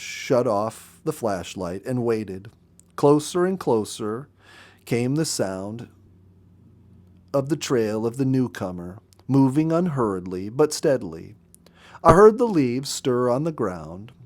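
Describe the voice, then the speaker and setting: Male storybook narrator, medium pitch, measured and dramatic, expressive read. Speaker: audiobook narrator.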